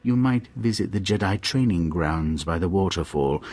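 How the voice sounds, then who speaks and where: An actor playing an obsequious, scheming Sith informant in a video game, unctuous, hesitant and self-deprecating. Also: male voice, deep voice, animated, normal speed, a little mellow, faint music behind. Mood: servile, scheming.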